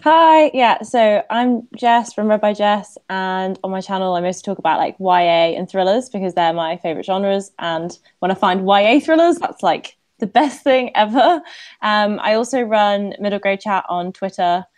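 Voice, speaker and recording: A woman's voice: medium pitch, normal speed, a little mellow, no echo. A woman with a mid-range voice gives a casual, conversational introduction as a livestream contestant. Her sound is dry, with hardly any room in it. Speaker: game show contestant, book vlogger.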